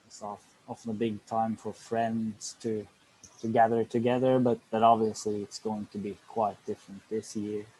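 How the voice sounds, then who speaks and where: A man's voice: fairly low pitch, little pitch movement, moderate pace, darkish tone, a little hiss or hum, rough recording. A man chatting casually and a little hesitantly about his holidays. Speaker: group participant. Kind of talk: casual chat.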